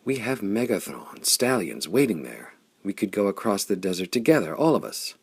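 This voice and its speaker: Male narrator as young woman, audiobook adventure heroine, urgent, earnest, hurried, husky low register. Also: sing-song, dry recording, exaggerated voice. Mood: desperate.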